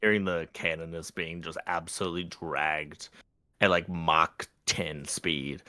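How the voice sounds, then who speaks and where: Enthusiastic man with a deep voice. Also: fairly even intonation, measured pace, sharp tone. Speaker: podcast co-host. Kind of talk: casual chat.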